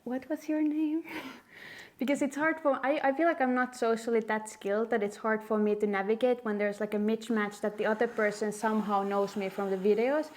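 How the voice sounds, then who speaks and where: Woman, Finnish accent, higher-pitched voice, casual vlog chat, candid, self-deprecating storytelling. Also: fairly even intonation, brisk. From Finland. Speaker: host of a puzzling video channel. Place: quiet room at home.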